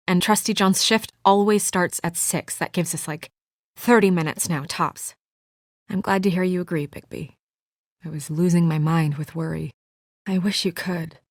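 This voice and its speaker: Woman acting serious young lady official from a video game, kinda low voice, businesslike but worried, guarded, natural delivery, dry close sound. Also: no echo, close to an everyday voice. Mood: worried, subdued.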